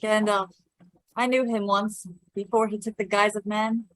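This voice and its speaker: A woman's voice: mid-range voice, level tone, normal speed, no echo, close to an everyday voice, poor audio. A woman playing a stately, aloof elven queen with formal, archaic phrasing in a fantasy table read. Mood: solemn.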